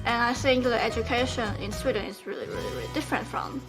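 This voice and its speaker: A woman's voice: a little high, very animated, fast talker, music in the background, poor audio. A young woman from Taiwan, teasing and chatting casually with her partner. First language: Mandarin Chinese. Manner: teasing, switches freely between English and Mandarin. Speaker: vlog co-host. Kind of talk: casual couple chat.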